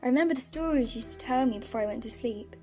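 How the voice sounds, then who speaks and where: A woman's voice: high voice, rapid-fire, loud music behind the voice, close to an everyday voice. A woman playing an earnest, questioning teenage granddaughter in a fan audio drama. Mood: concerned, curious.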